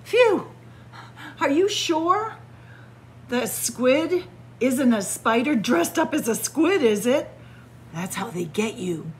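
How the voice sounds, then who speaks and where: A woman's voice: high voice, very animated, takes it slowly, pauses often, a little hiss or hum, heavily acted. A woman voicing a boastful shark from a picture book, bragging and exclamatory. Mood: panicked. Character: boastful shark, jumpy about spiders. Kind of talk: picture-book read-aloud. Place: indoors in a library.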